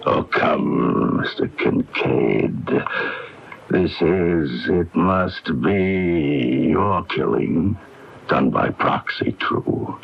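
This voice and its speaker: A suave mob fixer with an extremely low, gravelly voice. He speaks in measured, formal phrases that insinuate menace beneath courtesy, as heard in a dull AM radio mystery broadcast. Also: lively intonation, exaggerated voice. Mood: quietly menacing. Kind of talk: radio mystery drama acting. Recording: dull 1980s AM radio recording, faint music.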